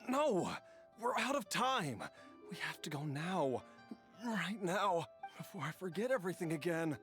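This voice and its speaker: A man's voice: high voice, sing-song, a little slow, fairly bright tone, soft background music, exaggerated voice. A man voicing an ailing, determined man in a video game, earnest and emotional. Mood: desperate, urgent. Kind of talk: dramatic video game voice acting.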